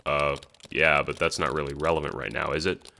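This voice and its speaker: A man voicing a sweary young cool-guy gamer in an audio drama, slangy, deadpan and sardonic yet easily rattled. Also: a bass voice, fairly quick, gravelly, very noisy. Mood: urgent sarcasm.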